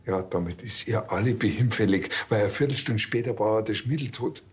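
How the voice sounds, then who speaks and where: A man's voice: fairly low pitch, a little fast, breathy edge. An actor playing an earnest, naive village policeman in a crime comedy, speaking with a Bavarian accent. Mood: casual.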